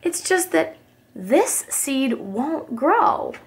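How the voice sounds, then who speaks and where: A woman's voice: high voice, sing-song, extremely slow, creaky, voice pushed up, full character voice. Woman as an earnest, pleading little boy. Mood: defensive. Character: earnest, ashamed young boy gardener.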